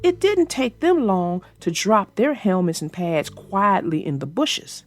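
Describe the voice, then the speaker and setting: A woman narrating a children's picture book aloud in an expressive storytelling style. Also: medium pitch, a little slow, dry recording, faint music behind.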